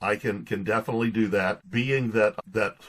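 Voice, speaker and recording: A man's voice: fairly low pitch, fairly even intonation, moderate pace, a bit bright. A middle-aged man from Pittsburgh speaking as an interview guest, telling personal stories in a folksy, conversational way. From Pittsburgh, United States. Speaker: guest, pastor and author.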